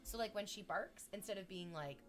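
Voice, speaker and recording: A woman's voice: medium pitch, a little fast, fairly bright tone, faint music behind. A woman chatting casually on a livestream, rambling to her viewers and cooing at her dog in baby talk.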